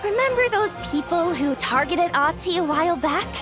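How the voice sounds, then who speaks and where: An excitable young female guild worker from a video game. Her voice is extremely high and swings wildly with dramatic exclamations. Music plays clearly behind her. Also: moderate pace. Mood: panicked. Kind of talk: animated video game voice acting.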